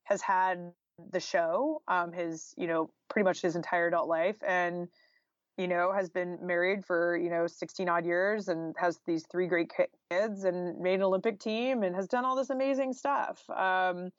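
Female podcast guest, American, fairly low register, informal and candid, dry close sound. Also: steady tone, normal speed, no echo, dull audio. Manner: informal and candid, peppered with "like" and "you know". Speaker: interview guest, journalist and author. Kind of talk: conversational podcast interview.

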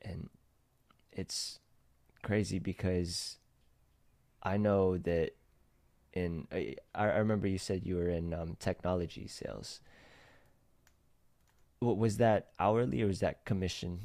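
A man hosting a podcast, speaking in relaxed casual chat and thinking aloud as he asks questions. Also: low-pitched, slow pace, vocal fry, long pauses, dry recording. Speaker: podcast host.

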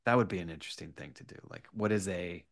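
A man speaking as a guest writer in a casual podcast interview, animated and rambling, halting often and swearing freely. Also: sits low, creaky.

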